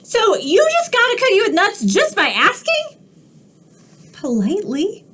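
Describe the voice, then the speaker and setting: A woman voicing a pushy, whiny young male pigeon in a storybook read-aloud, loud and demanding. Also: extremely high pitch, huge pitch swings, measured pace, pitched above the performer's own voice, heavily acted. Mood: excited, demanding.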